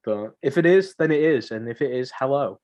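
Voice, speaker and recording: A man's voice: mid-range voice, animated, fairly quick, darkish tone, muffled recording. A young man co-hosting a podcast in casual banter, joking and rambling, with slangy phrases he often repeats. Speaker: podcast co-host.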